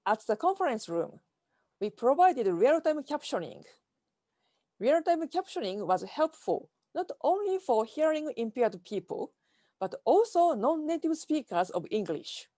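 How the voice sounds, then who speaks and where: A woman with a Japanese accent giving a prepared keynote talk over a video call, speaking in a careful, measured way from slides. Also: on the high side, warm tone, no room sound.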